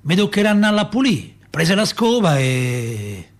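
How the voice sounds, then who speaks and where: A man's voice: sits high, unhurried, heavily acted. An actor playing a chatty Roman father who tells city tales in a folksy, rambling way, with a Roman accent. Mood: earnest storytelling. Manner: animated, folksy, rambling, rhetorical. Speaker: actor in a radio theatre monologue. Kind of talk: colloquial dramatic storytelling.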